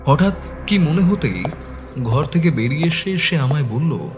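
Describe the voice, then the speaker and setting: A man narrating a detective story as the sleuth's loyal, concerned companion, in a measured dramatic style. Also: on the high side, expressive. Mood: subdued, reflective. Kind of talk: scripted suspense story narration. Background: background music.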